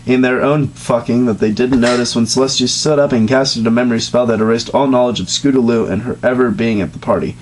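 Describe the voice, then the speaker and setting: A man reads a story aloud in a mid-pitched, matter-of-fact voice. His delivery is deadpan and steady. A faint hum sits under the recording. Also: a little fast, few pauses, poor audio. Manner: deadpan reading broken by fits of high laughter. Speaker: dramatic reader. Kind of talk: comedic dramatic reading of fan fiction.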